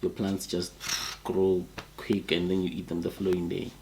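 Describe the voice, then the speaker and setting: A man co-hosting a casual chat, his voice a little off from a flu, talking in a relaxed, musing way. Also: sits low, moderate pace, slight background noise. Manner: relaxed, musing, laughs easily. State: sick with flu, voice a bit off. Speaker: co-host.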